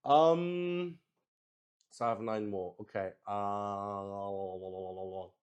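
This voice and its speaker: Slow-paced man, casual and hesitant. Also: fairly low pitch, darkish tone, clean tone. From Luxembourg. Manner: hesitant, thinking aloud. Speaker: solo roleplay stream player. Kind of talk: casual improvised chat while playing.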